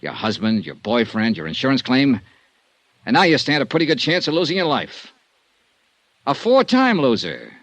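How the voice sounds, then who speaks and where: A man's voice: mid-range voice, huge pitch swings, normal speed, a bit bright, dry recording, exaggerated voice. An actor playing a hard-boiled male insurance investigator in a 1950s radio drama, talking in a clipped, sardonic way. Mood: accusing.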